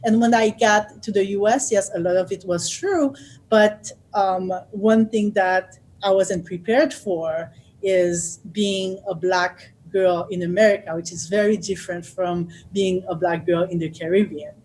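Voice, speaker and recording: A woman from Haiti, telling her own story as a guest in a thoughtful way, often pausing with fillers and restarting. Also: mid-range voice, steady tone, darkish tone, low-quality recording. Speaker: author and guest speaker. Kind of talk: personal storytelling.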